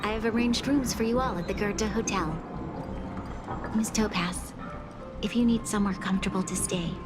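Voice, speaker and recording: A woman's voice: mid-range voice, moderate pace, close to an everyday voice. A woman voicing a dignified, gracious young ruler in an anime-style video game. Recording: loud background music under the voice.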